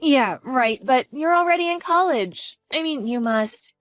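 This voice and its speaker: A woman's voice: sits high, expressive, unhurried, fairly bright tone, no echo, soft background music. A woman voicing a protective, anxious single mother who speaks firmly and pleads in a dramatized story. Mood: tender. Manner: firm, worried, pleading.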